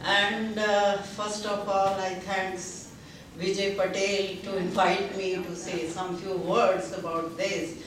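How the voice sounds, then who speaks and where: Slow, halting older woman in echoey hall. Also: mid-range voice, little pitch movement. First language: Hindi. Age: 70s. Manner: hesitant, many pauses and fillers. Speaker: seminar speaker. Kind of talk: halting speech to a gathering. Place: seminar hall. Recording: very echoey hall, distant mic.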